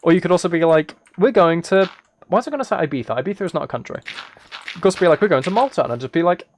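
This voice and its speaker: A man's voice: high-pitched, very fast, slight background noise. Rambling man chatting on a casual livestream. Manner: rambling, thinking aloud; soft-spoken, relaxed. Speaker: live streamer. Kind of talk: casual livestream chat while gaming.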